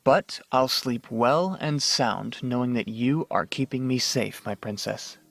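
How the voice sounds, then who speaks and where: Man as young male unicorn student, audio drama, creaky mid-range voice, hesitant, reverent, kind, very dry recording. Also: mid-range voice, a little slow, no echo. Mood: apologetic, gentle. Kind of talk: fan-made radio drama acting.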